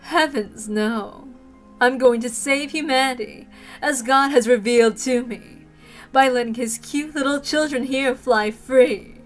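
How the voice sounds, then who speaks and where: A woman voicing a calm, pious villainess who is convinced God chose her, in a dramatic visual novel read-aloud. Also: sits high, measured pace, faint music behind. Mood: serene, fanatical.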